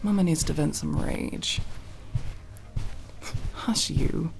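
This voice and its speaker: A woman acts out a tomboy friend in an apocalypse ASMR roleplay, teasing and foul-mouthed. She speaks low and slowly, bickering playfully with mock-archaic flourishes. Music plays clearly behind her. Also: a little mellow, exaggerated voice. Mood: playful, competitive.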